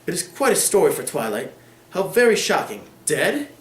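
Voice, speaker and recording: Casual: guy doing a bored posh lady, drawly and lofty, voice pushed up high, roomy echo. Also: sing-song, moderate pace. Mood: curious. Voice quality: lofty, languid. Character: haughty aristocratic lady, languid and cool. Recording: echoey room.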